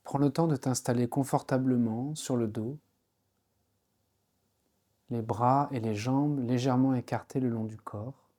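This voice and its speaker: A man guiding a yoga nidra relaxation session, giving soothing, unhurried instructions with pauses between phrases. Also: mid-range voice, fairly even intonation, warm tone. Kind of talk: guided relaxation meditation.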